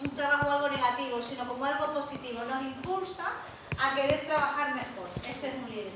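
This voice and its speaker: Audience woman, high voice, hesitant answer, distant mic, noisy room. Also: fast talker. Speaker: audience member. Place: conference hall audience. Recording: distant, echoey and noisy hall audio.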